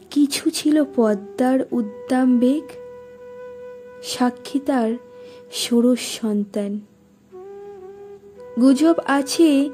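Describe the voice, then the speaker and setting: A woman reciting poetry in a dramatic style, with measured, deliberate phrasing and expressive pauses. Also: sits high.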